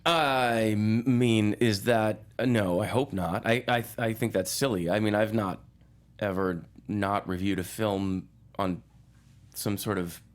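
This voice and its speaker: A man speaking as a guest film critic in casual movie talk, halting and repeating phrases as he thinks aloud. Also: fairly low pitch, steady tone.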